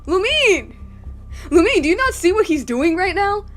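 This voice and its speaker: A woman giving casual live commentary as she plays a game, excitable and rambling. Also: very high voice, moderate pace. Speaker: game streamer. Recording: game music behind the voice.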